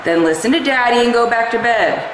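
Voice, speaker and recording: A lazy, neglectful father in a comedy skit, acted out. He is dismissive and grumbling, with a lively, swinging pitch. The room gives his voice a clear echo. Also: brisk, background noise. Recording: roomy echo.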